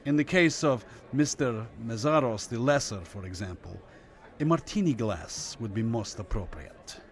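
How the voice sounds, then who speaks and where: An actor playing a courtly but menacing Lithuanian communist official in a radio drama, formal, measured and condescending, with a mid-pitched voice. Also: male voice, animated, natural voice. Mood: courtly, sardonic. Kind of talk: Cold War radio drama acting.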